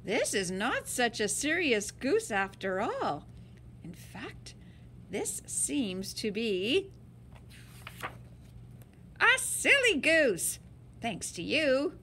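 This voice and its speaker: A woman's voice: on the high side, wildly swooping pitch, drawn-out words, long pauses, pushed and bright, a little hiss or hum. A woman reading a picture book aloud to children in a dramatic, emphatic and playful way. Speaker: story-time reader.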